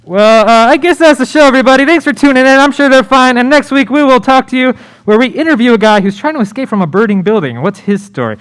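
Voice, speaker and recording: A man's voice: extremely high pitch, animated, fast, fairly bright tone, clear, resonant tone. A man playing a breezy, oblivious radio show host, chatty and casual, in a live comedy radio play. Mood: cheerful, unconcerned.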